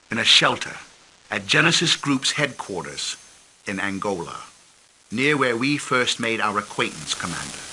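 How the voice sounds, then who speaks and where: A stern intelligence chief briefs a commander over a video link, formal and measured. His voice is bright and forceful, rising and falling widely, and his lines sink low at the ends. A faint hiss sits under the recording. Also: heavily acted, poor audio. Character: grave, formal middle-aged male intelligence chief. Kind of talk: game cutscene briefing.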